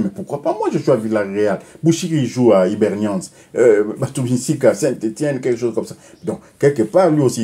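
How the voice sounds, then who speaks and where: A man's voice: medium pitch, dark tone. A man co-hosting a casual football talk show, chatting loosely and tagging phrases with a questioning interjection. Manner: conversational, ends phrases with "hein".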